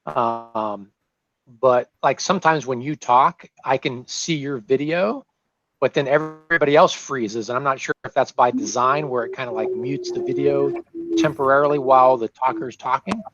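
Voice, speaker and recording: A man's voice: medium pitch, moderate pace. Easygoing man on a dull video call. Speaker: new member. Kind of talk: casual video call chat.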